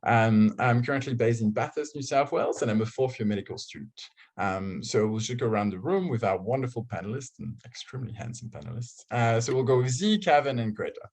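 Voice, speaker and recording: A man's voice: mid-range voice, animated, brisk, darkish tone. Chatty French-accented man hosting online. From France. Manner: chatty, self-joking, filler-heavy. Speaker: host. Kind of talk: casual online panel hosting. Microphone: video call.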